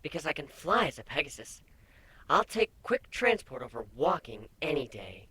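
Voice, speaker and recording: A woman acts out a playful female changeling pony from a cartoon fan story. Her voice is husky and a little breathy, with very lively pitch swings. She talks casually and teasingly, with open affection. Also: moderate pace, exaggerated voice. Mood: thoughtful. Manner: teasing, casual, hesitant in places. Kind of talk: dramatic reading of fan fiction.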